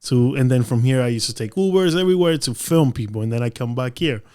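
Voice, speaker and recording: A man's voice: mid-range voice, animated, fast, mellow. A man from the Dominican Republic hosting a podcast, talking casually with his guest. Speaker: podcast host. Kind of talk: casual interview chat.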